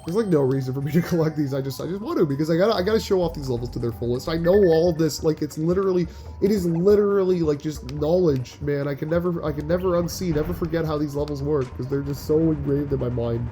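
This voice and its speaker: A man's voice: fairly high pitch, fast talker, dark tone. A young man giving casual, rambling gameplay commentary with a slightly nasal voice, often restarting sentences. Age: young adult. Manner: rambling, chatty, frequent restarts and filler likes. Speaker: gaming channel host.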